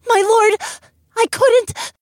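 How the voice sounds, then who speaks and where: A terrified young fox girl from a storybook, her voice pitched very high and trembling with tears and breathlessness. She pleads in a dry, close recording, with a man acting her out. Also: steady tone, slow, no room sound. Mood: distressed.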